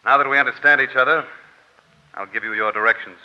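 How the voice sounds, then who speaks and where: An actor playing a cold, careful crime boss who speaks in a measured, formal, menacing way, in 1940s radio style. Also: male voice, medium pitch, bright, forceful tone. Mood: smooth, businesslike menace. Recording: muffled old radio recording.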